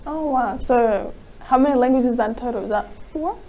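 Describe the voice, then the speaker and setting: A woman's voice: fairly high pitch, a little fast, dark tone, slight background noise. A young woman from South Sudan whose first language is Dinka, speaking casually on a panel with many "like" fillers. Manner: chatty, heavy use of "like" fillers.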